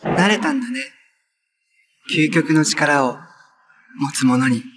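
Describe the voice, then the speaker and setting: An actor playing an eerie, playful young male villain of ultimate power in a live-action TV drama, taunting softly with drawn-out words. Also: a little high, very animated, heavily acted. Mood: eerie, pleased. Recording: echoey, distant, loud music behind.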